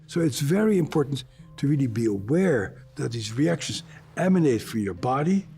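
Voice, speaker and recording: An older man speaks with a gravelly, slightly worn voice at an ordinary middle pitch. He is a psychiatrist, and in an interview he explains his work in a measured way, dropping the odd wry aside. Soft music plays faintly underneath. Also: sing-song.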